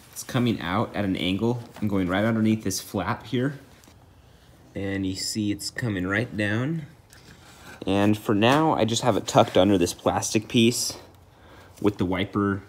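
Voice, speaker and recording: Guy with a kinda low voice doing a diy how-to, plain spoken and informal, bit of noise behind him. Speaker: DIY video host. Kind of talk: casual how-to walkthrough.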